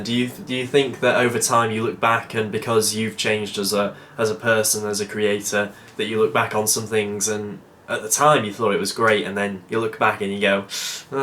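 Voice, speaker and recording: A man's voice: lowish voice, pushed and bright, slight background noise. A man interviewing a guest, asking questions with hesitant repeated words.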